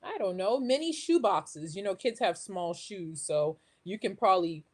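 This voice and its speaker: A woman's voice: medium pitch, expressive, normal speed, slightly rough. A woman talking casually as an interview guest, explaining her ideas as an educator and posing questions to herself as she goes. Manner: talks through ideas with self-posed questions. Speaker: guest, children's craft maker and educator.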